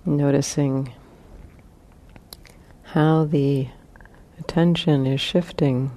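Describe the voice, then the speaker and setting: A woman leading a guided meditation as a teacher, speaking gently in short, spaced phrases with long pauses. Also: low-pitched, fairly even intonation.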